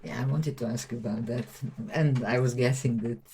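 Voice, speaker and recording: A woman's voice: rumbling low, fairly even intonation, a little fast, dark tone, a little hiss or hum. A woman hosting a podcast who prompts her guest with brief questions. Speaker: podcast host. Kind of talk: interview questions.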